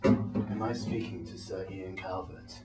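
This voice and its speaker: A man playing a mysterious, sinister agent with portentous pauses in a comic radio play. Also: low voice, fairly even intonation, over loud music. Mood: sinister, secretive. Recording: echoey room, distant microphone.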